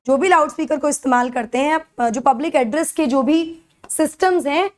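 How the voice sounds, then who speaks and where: High-pitched Indian woman lecturing. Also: moderate pace, darkish tone. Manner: instructional, checks understanding with short tag questions. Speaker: educator for civil service exam courses. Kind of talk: explanatory lecture mixing Hindi and English.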